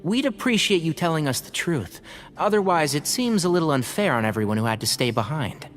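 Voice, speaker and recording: Actor playing a concerned young friend, game character, polite, animated pitch. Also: a little fast, lightly acted. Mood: earnest, firm. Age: young adult. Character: earnest, polite young companion, loyal and concerned. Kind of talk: video game voice acting.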